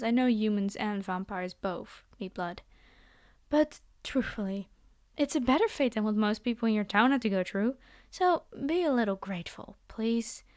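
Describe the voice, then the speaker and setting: Girl acting as a snooty vampire lady for asmr roleplay, highish voice, possessive, politely menacing. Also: no room sound, clean studio sound. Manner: theatrical, condescending, formal phrasing. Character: haughty, possessive vampire noblewoman, polite yet menacing captor.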